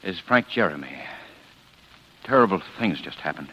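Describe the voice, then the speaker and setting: An actor playing a cold husband feigning grief, with deliberate, halting 1940s radio drama delivery. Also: male voice, sits low, animated, pushed and bright, breathy edge, lo-fi sound, exaggerated voice. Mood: grave, stunned. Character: cold, menacing husband feigning grief over his wife. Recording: noisy old radio recording.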